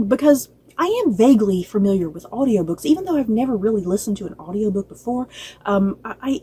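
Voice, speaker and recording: A woman's voice: mid-range voice, mellow, slightly rough. A woman giving a casual, unscripted review to viewers as a channel host, chatty and rambling, often circling back to repeat herself.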